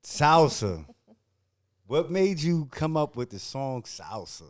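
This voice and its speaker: Very deep-voiced woman hosting a podcast. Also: takes it slowly. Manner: short affirmations and relaxed questions. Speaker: podcast host. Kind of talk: casual interview chat.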